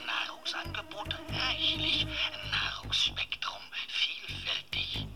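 A detached figure who lists measurements in flat, clipped phrases for a radio drama. The voice sits extremely low, with a heavy gravelly fry. He speaks very slowly over clear music. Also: heavily acted. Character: cold, deep male voice reciting nature data.

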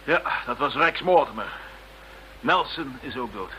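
An actor playing an urgent police officer in a radio drama who reports news briskly. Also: male voice, mid-range voice, expressive, pushed and bright, slight background noise, rough recording.

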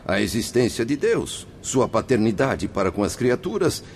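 An actor playing a devout, earnest gentleman in a radio drama, courteous and formal while reproving. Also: medium pitch, big pitch swings, moderate pace, slight background noise.

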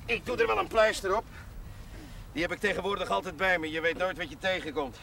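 An actor playing a hearty, sporty village priest, jovial and quick to joke, in a 1970s TV drama. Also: male voice, sits high, gravelly, pushed and bright, a little hiss or hum, heavily acted. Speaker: parish priest.

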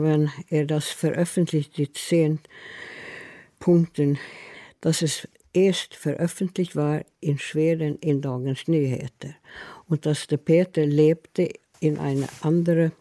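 Slow, creaky older voice, man. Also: fairly high pitch, dark tone, no echo. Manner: halting, non-native German phrasing. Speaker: interviewee recalling past events. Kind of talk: unscripted interview recollection.

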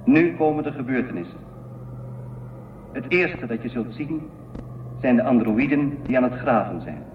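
An actor playing a calm, deliberate male guide in a radio play, explaining in a measured way. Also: mid-range voice, phone-quality sound, close to an everyday voice. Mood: calm, explanatory. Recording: very echoey, distant, phone-thin, faint noise.

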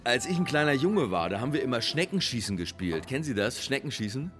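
An actor in a radio play playing a crude, jovial politician who rambles and boasts. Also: male voice, mid-range voice, big pitch swings, fast, over music, close to an everyday voice. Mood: boastful, rambling. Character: crude, chatty male politician boasting of boyhood games. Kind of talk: naturalistic radio drama acting.

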